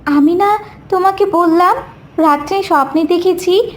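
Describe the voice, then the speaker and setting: A woman's voice: extremely high pitch, measured pace. A woman voicing a devoted vixen wife and mother, earnest and emotional, in a cartoon fairy tale. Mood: hopeful, happy. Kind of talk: animated fairy-tale character voice.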